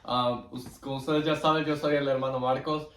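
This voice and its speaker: A man's voice: mid-range voice, little pitch movement, a bit bright. Man, distant echoey recording. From Guatemala. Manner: devout, earnest, halting. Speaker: interview guest giving testimony. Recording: roomy echo, distant microphone.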